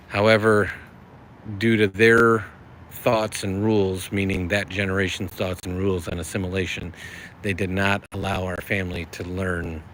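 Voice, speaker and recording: Middle-aged american guy rambling on his evening stream, lowish voice, faint hiss behind. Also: bright, forceful tone. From United States. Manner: friendly, rambling, reads chat aloud. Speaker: livestream host. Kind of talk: casual livestream chat.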